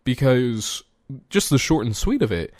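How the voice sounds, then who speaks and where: A man's voice: mid-range voice, big pitch swings, a little slow, darkish tone, dry recording, studio quality. A man in his twenties hosting a solo podcast, rambling casually, swearing freely and laughing at himself. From United States. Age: 20s. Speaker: podcast host.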